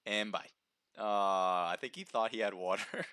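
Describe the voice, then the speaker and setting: Brief: man, deep bright voice, slightly hoarse, upbeat livestream game caster calling the action and greeting viewers. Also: measured pace, no echo, studio quality. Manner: chatty, upbeat, reads viewer names aloud. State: slightly hoarse, throat sore. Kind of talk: live esports-style play-by-play commentary.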